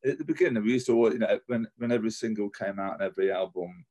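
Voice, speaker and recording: Male band musician, low and mellow, hesitant, thinks out loud. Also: racing. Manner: hesitant, thinking aloud with fillers. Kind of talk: casual interview.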